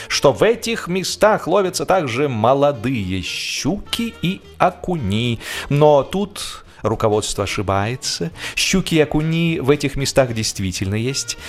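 A man reads a humorous literary narration with expressive, wryly ironic storytelling, as in an audiobook. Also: on the high side, unhurried. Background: music.

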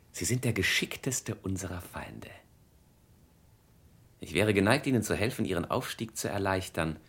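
Deep-voiced cynical young chevalier. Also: male voice, slightly rough, full character voice. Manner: suave, insinuating, theatrical. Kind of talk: classic German radio play acting.

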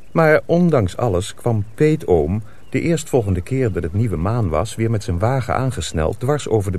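A man's voice: lowish voice, dark tone. A man narrating a wintry folk tale as scripted radio drama storytelling.

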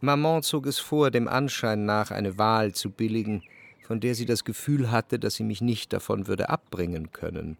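An actor playing an introspective, analytical narrator in a literary radio drama, with a measured, reflective delivery. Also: male voice, fairly low pitch, vocal fry. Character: introspective, analytical male narrator looking back on love.

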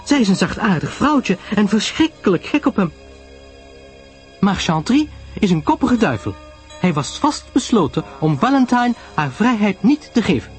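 A man voicing an earnest, questioning, easily astonished woman in an audiobook story, with a husky voice. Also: high-pitched, very animated, moderate pace, soft background music, voice pushed up. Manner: questioning, insistent, emotional.

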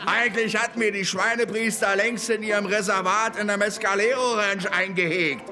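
A man's voice: high voice, fairly even intonation, moderate pace, pushed and bright. An actor playing a boastful, coarse Wild West lawman telling war tales with crude swagger in a German radio play. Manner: crude, swaggering storytelling with cursing. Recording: music bed behind the voice.

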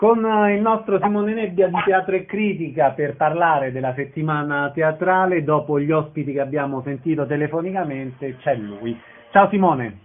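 A man's voice: a little high, normal speed, phone-quality sound, faint music behind. A man hosting a radio theatre show who asks short, friendly questions. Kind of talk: casual radio interview.

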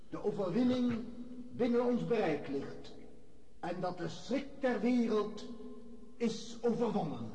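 An actor playing a solemn Russian tsar in a radio drama, making a measured, formal proclamation to his people. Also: high-pitched, mellow. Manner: measured, stately. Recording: echoey room with clear noise.

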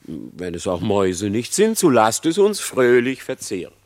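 An actor playing a sly, flattering, treacherous male fox in a verse radio play, with wheedling false courtesy. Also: fairly low pitch, a little slow, full character voice. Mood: taunting. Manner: wheedling, theatrical, feigned courtesy.

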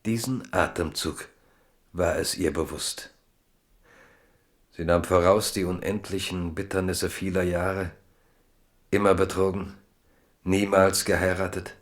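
A man narrating a 1970s German radio drama in a measured, dramatic, literary storytelling style. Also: sits low, fairly even intonation.

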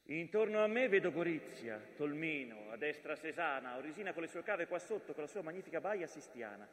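An actor playing a personified mountain, a grave witness of war, declaiming a solemn theatrical monologue. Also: male voice, on the high side, expressive, fairly quick, long reverb tail, close to an everyday voice. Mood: pensive.

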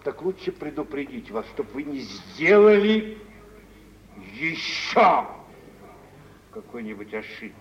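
An actor playing a wealthy middle-aged merchant, blunt and condescending, in vintage Russian stage style for radio. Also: male voice, fairly high pitch, big pitch swings, takes it slowly, long pauses. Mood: guarded, insinuating. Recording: dull, noisy vintage radio play recording.

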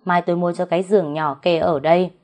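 A woman's voice: fairly low pitch, steady tone, fast talker, darkish tone, close to an everyday voice. A woman voicing an older rural matriarch who scolds and gives stern orders in a story narration. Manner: scolding, commanding.

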